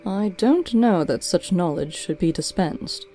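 A woman's voice: fairly low pitch, animated, measured pace, mellow, faint music behind. A woman voicing a cautious, formal male owl in a storybook reading, with a guarded manner.

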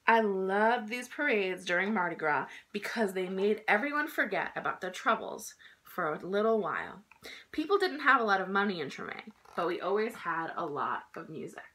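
A woman in her thirties reading a children's picture book aloud in an expressive, emphatic storytelling style. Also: mid-range voice, normal speed, slight background noise. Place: small indoor room at home.

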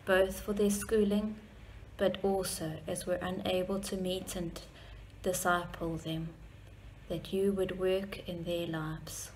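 A middle-aged woman leads a measured spoken prayer in a room at home. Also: fairly low pitch, flat delivery, a little mellow. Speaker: church member leading prayer. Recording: clearly noisy home recording.

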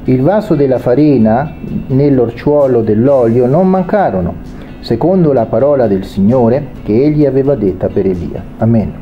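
A man preaching a sermon, reading a Bible passage aloud and then explaining it with pauses and restarts. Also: medium pitch, lively intonation, highs cut off, faint music behind. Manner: reads scripture then explains it, hesitant pauses.

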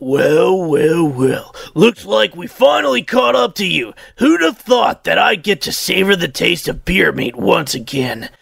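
A man's voice: sits high, sing-song, unhurried, vocal fry, few pauses, fairly bright tone, slightly rough, exaggerated voice. A man playing a gung-ho, cheerful, scheming hunter in an amateur cartoon audio drama, with theatrical delivery. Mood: gloating. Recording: music laid under the voice.

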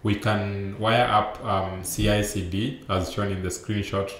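A man's voice: fairly low pitch, level tone, a little slow, fairly bright tone. A young man giving a remote conference talk over slides, explaining in a loose way with many fillers and restarts, recorded through a laptop mic on a video call. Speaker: conference speaker. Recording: roomy echo with faint background noise.

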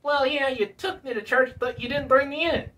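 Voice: sits high, fairly even intonation, normal speed, pushed and bright, distant mic, voice pushed up. A man voicing a grumpy male puppet politician who needles and complains in a whiny manner. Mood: sulky, accusing. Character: grumpy, teasing male puppet of a former president. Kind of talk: ventriloquist-style puppet dialogue.

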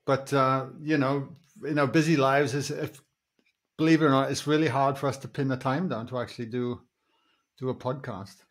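A man's voice: medium pitch, steady tone, moderate pace. A man in his forties with a Welsh accent, hosting a podcast in friendly, rambling chat over a video call from a small room, speaking through earphones. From Wales. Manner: friendly, rambling, says "mate" often. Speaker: podcast host. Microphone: earphone headset on a video call.

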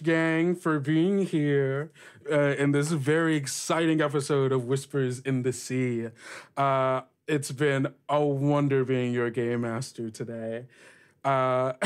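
Man, slightly high voice, game master, casual narration and podcast chat.

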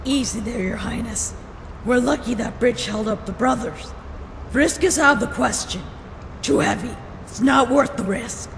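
A woman's voice: on the high side, moderate pace, vocal fry. A woman voicing a brash, impatient fish-woman warrior in a comic dub, blunt, slangy and bossy. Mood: brisk, exasperated. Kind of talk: dramatic comic dub acting. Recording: echoey reverberant hall with background noise.

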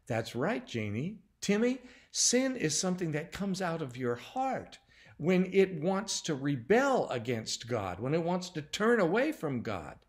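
Man playing a patient, slow-speaking mother. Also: fairly high pitch, very animated. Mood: reassuring. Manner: patient, instructive. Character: calm, reassuring mother teaching her children.

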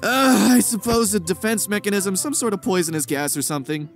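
A man's voice: high voice, brisk, soft background music. A man voicing a bossy, pompous know-it-all scientist sidekick in a kids' gaming cartoon. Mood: curious. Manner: pompous, dramatic.

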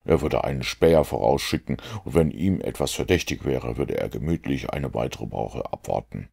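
A shrewd, methodical storybook detective acted out by a man reader speaks in an extremely low voice. It crackles with gravel as he lays out his reasoning deliberately, fully sure of himself. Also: a little mellow, full character voice. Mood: self-assured. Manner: deliberate, explanatory.

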